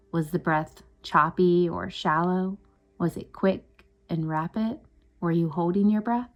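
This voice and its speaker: A woman with a slightly low voice guides a breathing meditation. She speaks as a coach, giving gentle instructions in a soothing, unhurried way. Meditation music plays faintly behind her.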